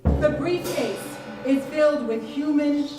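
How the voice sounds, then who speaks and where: A woman reading a literary story aloud in a measured, theatrical way at a live performance. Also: high voice. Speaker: story reader. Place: live performance in an arts venue. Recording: roomy live venue, distant mic, music behind. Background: loud live guitar music.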